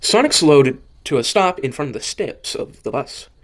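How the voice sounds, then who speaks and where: A man reading a scripted story as narrator, haltingly, often stumbling and rereading phrases. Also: fairly high pitch, very animated. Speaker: narrator of an amateur audio drama.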